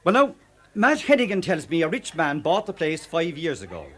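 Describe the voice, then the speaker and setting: An actor with an Irish accent playing a genial, talkative, canny police superintendent in a radio drama. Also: male voice, on the high side, huge pitch swings, moderate pace, a bit bright. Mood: genial, storytelling. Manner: folksy, cajoling, garrulous.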